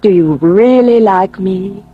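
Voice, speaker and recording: A woman's voice: medium pitch, slow pace, breathy edge. An actress playing an alluring, mysterious young woman with a salesy polish, in an old radio drama, with an American accent. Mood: coy, dreamy. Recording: dry, muffled old radio recording.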